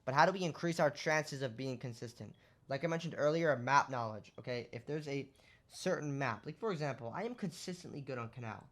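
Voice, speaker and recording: A young man with a voice going hoarse, casually explaining shooter game tactics over gameplay and often checking in with "right?". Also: medium pitch, expressive, brisk, fairly bright tone. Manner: explanatory, rhetorical questions, lots of "right?". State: losing his voice. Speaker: gaming content creator. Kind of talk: casual gameplay tips commentary.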